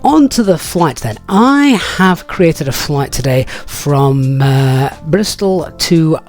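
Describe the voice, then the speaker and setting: A man presenting a software walkthrough in a casual, conversational and explanatory way. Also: on the high side, lively intonation, slow pace, soft background music. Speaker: video presenter reviewing flight simulator software. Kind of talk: casual software tutorial narration.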